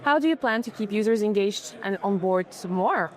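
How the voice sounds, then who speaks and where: A woman's voice: mid-range voice, animated, moderate pace. A woman interviewing a guest at a conference booth, upbeat and friendly, working through prepared questions.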